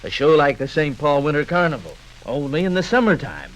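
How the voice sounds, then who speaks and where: An actor playing an eager civic booster pitching a festival idea in a 1950s radio docudrama. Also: male voice, big pitch swings, brisk, low-quality recording. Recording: 1958 radio program from LP record.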